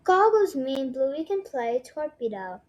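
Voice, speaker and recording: A woman's voice: sits high, sing-song, a little slow, a little mellow. A woman reading a children's storybook aloud, sometimes stumbling over her words. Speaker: storybook read-aloud presenter.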